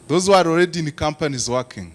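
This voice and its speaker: A man's voice: on the high side, lively intonation, quick pace. A man from Rwanda giving a presentation to a youth forum, asking the audience questions with frequent "uh" hesitations. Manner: engaging, asks the audience questions. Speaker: lead presenter.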